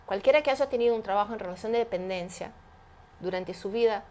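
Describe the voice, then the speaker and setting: A woman's voice: medium pitch, fast, darkish tone. Woman, 50s, interview guest; emphatic and explanatory, uses rhetorical questions. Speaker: guest, entrepreneur. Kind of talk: animated interview conversation.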